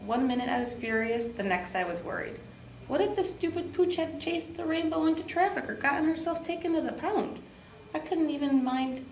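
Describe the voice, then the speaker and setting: A woman reading a children's storybook aloud with expressive narration. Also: high voice. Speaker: park district program supervisor reading to children. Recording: echoey room with background noise.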